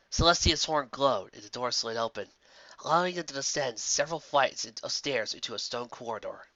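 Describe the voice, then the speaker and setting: An amateur narrator reading scripted fantasy fan fiction in an expressive storytelling manner. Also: mid-range voice, dry recording, highs cut off.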